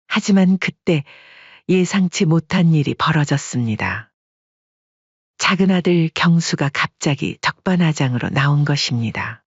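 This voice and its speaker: Male story narrator: husky, steady dramatic reading, close dry recording. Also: fairly high pitch, no echo. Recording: dull lo-fi dry sound.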